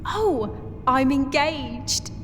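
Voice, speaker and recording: A woman voicing a cheerful female soldier, newly made sergeant, speaking in a slow, emphatic way. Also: high-pitched, animated, a bit bright, in a large hall, a little hiss or hum, pitched above the performer's own voice, exaggerated voice. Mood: happy.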